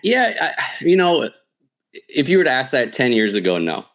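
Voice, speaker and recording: A man's voice: medium pitch, very animated, moderate pace, a bit bright. A man who works as a sports announcer, talking casually and analytically as an interview guest. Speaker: radio play-by-play sports announcer, podcast guest.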